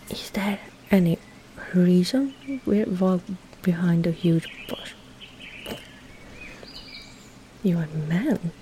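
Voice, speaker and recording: A woman playing a teasing young medieval maid in an intimate roleplay drama, coy and playful, often dropping into breathy, hushed tones. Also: lowish voice, a little hiss or hum. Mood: teasing, curious. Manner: coy, playful, soft breathy asides, slow deliberate phrasing. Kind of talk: intimate roleplay audio drama, partly whispered.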